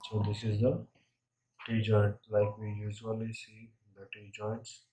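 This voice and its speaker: A man instructing step by step in an unscripted software tutorial, often tagging his phrases with a short check-in question. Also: fairly low pitch, completely flat delivery, a little slow.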